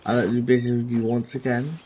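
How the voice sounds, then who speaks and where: A man's voice: mid-range voice, little pitch movement, fast, noisy background. A man giving casual, offhand commentary while playing a video game. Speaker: game streamer. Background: game music.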